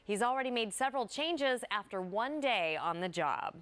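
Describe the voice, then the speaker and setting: A woman's voice: on the high side, expressive, moderate pace, a bit bright. A woman anchoring a TV newscast, reading from a script. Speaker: news anchor.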